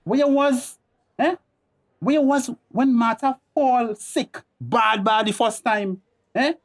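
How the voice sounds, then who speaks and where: A man's voice: extremely high pitch, slow pace, full character voice. Radio drama role: touchy, combative Tobagonian common-law husband played by a man, defensive and indignant. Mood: furious. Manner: combative, Creole phrasing.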